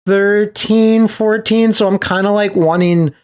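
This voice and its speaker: A man's voice: high-pitched, measured pace, clean tone. A man co-hosting a podcast, chatting casually and telling stories with enthusiasm, quoting reactions and swearing freely. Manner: animated storyteller, acts out quotes, swears casually. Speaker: podcast host.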